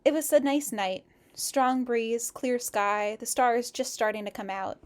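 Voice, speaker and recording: A woman with a slightly high-pitched voice co-hosts a casual read-aloud. She reads expressively and talks in an informal, chatty style, like sharing a story with a friend. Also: unhurried, clean tone. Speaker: co-host of a fan fiction read-aloud stream. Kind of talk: expressive story reading mixed with casual chat.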